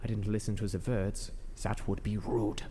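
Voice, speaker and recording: A man playing a courteous, wordy, slightly mischievous gentleman with formal, flowery speech in a science fiction audio drama. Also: lowish voice, level tone, normal speed, close to an everyday voice. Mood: flustered, courteous. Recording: long reverb, faint background noise.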